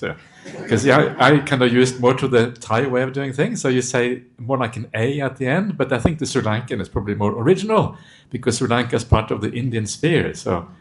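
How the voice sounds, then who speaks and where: A Buddhist monk teacher, a man, giving an informal dharma talk to a live group in a meditation hall, relaxed and chatty and chuckling often. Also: mid-range voice, slight background noise.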